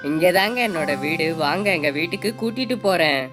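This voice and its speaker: Woman as a low-voiced, polite young male parrot. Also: animated, brisk. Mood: earnest, polite. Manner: very polite, deferential. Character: young male parrot, humble, grateful, eager suitor. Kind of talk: Tamil moral-story cartoon dub. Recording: loud background music.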